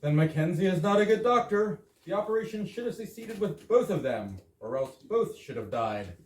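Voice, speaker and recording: A man's voice: high-pitched, very animated, normal speed, a little mellow. A man playing a fussy, pompous, absurdly opinionated English husband in a staged reading of an absurdist comedy. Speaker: amateur actor in a readers theater. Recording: roomy, distant, noisy live stage recording.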